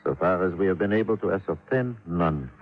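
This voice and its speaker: An actor playing a courteous French police inspector with a French accent, formal and precise, in old-time radio drama style. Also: male voice, sits low, lively intonation, fairly quick. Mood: formal, measured. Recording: muffled old radio recording.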